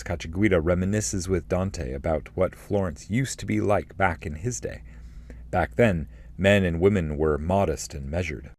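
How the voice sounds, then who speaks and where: A man giving a scripted literary narration and reading of poetry, in a measured, formal way. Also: low voice, darkish tone. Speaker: narrator, reader.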